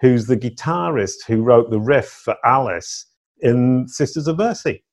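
A man's voice: mid-range voice, measured pace. A middle-aged man, a guest telling stories in a casual interview with playful emphasis. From England. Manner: animated storyteller, playful emphasis.

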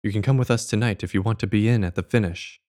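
An actor playing a courteous, brisk gentleman doctor, a detective's companion, in an audio drama. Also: fairly low pitch, lightly acted. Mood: brisk, eager.

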